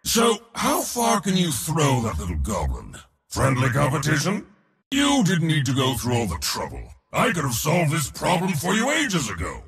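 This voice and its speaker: A man playing an arrogant, boisterous war god in a video game, speaking in a bombastic, boastful way with a gravelly, raspy voice. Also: fairly high pitch, huge pitch swings, normal speed, a bit bright, long reverb tail, heavily acted. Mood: smug, conversational. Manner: bombastic, boastful, theatrical delivery. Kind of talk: video game hero voice lines.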